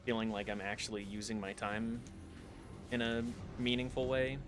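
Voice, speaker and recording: A man's voice: lowish voice, steady tone, normal speed. A man streaming a game, chatting reflectively with his viewers as he plays. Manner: reflective, talks to chat while playing. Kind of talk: casual gameplay stream chat. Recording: game music playing behind.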